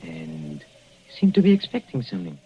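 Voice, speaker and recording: A man's voice: low-pitched, huge pitch swings, moderate pace, a little hiss or hum. An actor playing a scheming male professor who is poisoned and breaks into stammering pleas, in a 1940s radio drama. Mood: menacing. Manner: stammering, pleading then shouting. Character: panicking poisoned male professor, mathematician killer. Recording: dull old radio broadcast.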